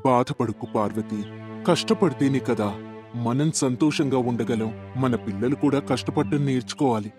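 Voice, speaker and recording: A man's voice: mid-range voice, normal speed, over music, close to an everyday voice. A man playing a kind, hardworking village farmer in a storybook tale, earnest and reassuring.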